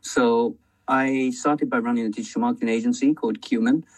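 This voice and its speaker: A man's voice: mid-range voice, fairly even intonation, fairly quick, recorded from a distance, low-quality recording. A man from China moderating a panel and introducing himself.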